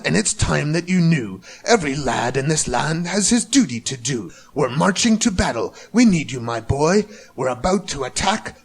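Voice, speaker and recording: A man's voice: on the high side, gravelly, breathy edge, heavily acted. Man putting on a bellowing, pompous army general. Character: big bellowing bombastic army general on horseback. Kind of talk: storybook character voice.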